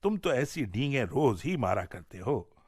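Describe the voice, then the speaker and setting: A man's voice: mid-range voice, big pitch swings, unhurried, darkish tone, husky, no echo, studio quality. A man voicing a fed-up, sceptical wife from a folk tale, nagging in a scolding, dismissive way. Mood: scornful. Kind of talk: folk tale storybook reading.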